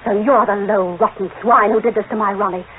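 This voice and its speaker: A woman's voice: mid-range voice, steady tone, brisk, natural voice. An actress playing a fierce, protective mother, impassioned and scornful, in 1940s radio melodrama style. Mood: bitter outrage. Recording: tinny old radio recording, faint noise.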